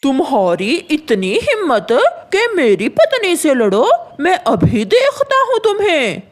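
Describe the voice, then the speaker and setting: A woman's voice: high-pitched, wildly swooping pitch, measured pace, exaggerated voice. A woman voicing a hot-headed, blustering male crow bully, a villain in a cartoon. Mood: angry. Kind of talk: Hindi cartoon dialogue.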